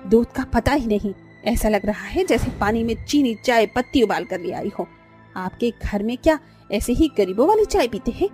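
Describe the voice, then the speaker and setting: A woman's voice: on the high side, lively intonation, normal speed, a little mellow, soft background music, close to an everyday voice. A woman voicing a spoiled young sister-in-law in a cartoon drama, mocking and always finding fault. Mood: scornful. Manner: mocking, complaining.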